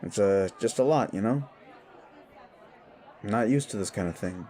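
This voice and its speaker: A man's voice: fairly low pitch, animated, normal speed, mellow, a faint crowd, lightly acted. A man voicing a newly turned werewolf bully in an audio drama, brash and crude but unsure of himself, talking in casual slang. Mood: uneasy, sheepish. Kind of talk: audio roleplay dialogue.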